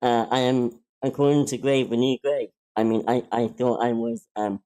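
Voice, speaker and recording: A man with a deep voice standing in as host of an online script reading, talking casually with many ums, restarts and self-deprecating asides. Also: flat delivery, moderate pace, warm tone. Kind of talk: casual spoken hosting.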